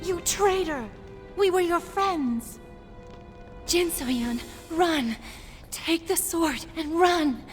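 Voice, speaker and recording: A woman's voice: extremely high pitch, very slow, faint music behind, exaggerated voice. An actress voicing an earnest young female sword pupil in a game, pleading and emotional. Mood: furious. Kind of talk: game cutscene voice acting.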